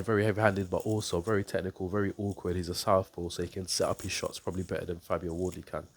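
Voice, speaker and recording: A man with a very low voice and a stuffy, congested nose from a cold talks through a boxing fight to camera. His delivery is level and even, measured and unhurried, with frequent ums and repeated words as he thinks aloud. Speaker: boxing coach and YouTuber. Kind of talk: casual fight breakdown to camera.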